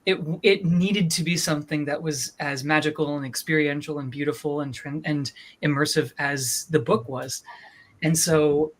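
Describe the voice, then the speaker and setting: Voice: medium pitch, fairly even intonation, moderate pace. Enthusiastic audiobook producer speaking conversationally. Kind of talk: informal project presentation.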